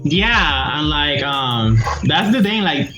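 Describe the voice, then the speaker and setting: A man from Puerto Rico with a Puerto Rican accent, chatting casually as a guest, animated and laughing often, with many "like" fillers. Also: fairly high pitch, takes it slowly, music in the background, low-quality recording. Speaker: guest YouTuber. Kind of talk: casual video-call conversation.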